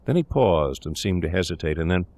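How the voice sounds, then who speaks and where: A man's voice: low-pitched, animated, brisk, a little mellow. A man reading scripted literary narration as the narrator of a gothic tale. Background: eerie ambience.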